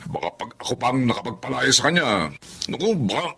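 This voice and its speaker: A man's voice: mid-range voice, sing-song, a little fast, gravelly, pushed and bright, slight background noise, exaggerated voice. A man playing an indignant, short-tempered husband in a Filipino radio drama, grumbling and exclaiming. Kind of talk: Filipino radio drama acting, domestic dialogue.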